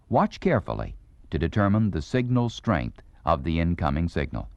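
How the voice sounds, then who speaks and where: A man reading scripted instructional narration in a measured, explanatory manner. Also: deep voice, darkish tone, no room sound. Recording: dull vintage record sound.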